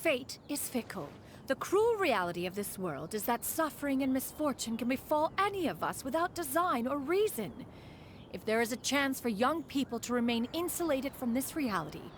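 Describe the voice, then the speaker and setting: Proud female immortal, higher voice, animated intonation, formal archaic phrasing, imperious, fussy, game character. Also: normal speed, slight background noise. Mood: reflective. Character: proud, fussy female immortal master speaking loftily of herself as "one". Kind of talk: video game voice acting.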